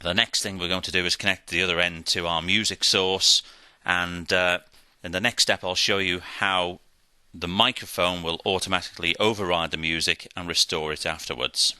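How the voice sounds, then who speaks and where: Deep-voiced man, bright and crisp-edged. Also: fairly even intonation, moderate pace, no echo. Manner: methodical, step-by-step explaining. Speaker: product demonstrator. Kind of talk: hands-on instructional demonstration.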